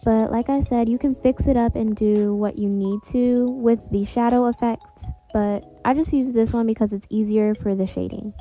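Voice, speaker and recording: A woman's voice: fairly high pitch, steady tone, normal speed, hardly any pauses, dark tone, faint music behind. A woman giving a casual, rambling step-by-step tutorial voice-over in an informal way. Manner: informal, rambling, repeats filler phrases. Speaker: video creator and host of a tutorial.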